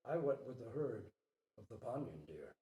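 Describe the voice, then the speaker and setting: Mellow, theatrical storytelling man, roomy sound. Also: mid-range voice, very animated, normal speed, muffled recording. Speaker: Zen teacher and storyteller. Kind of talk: dramatic story reading and spoken teaching. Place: talk at a Zen center retreat. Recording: roomy echo, distant microphone.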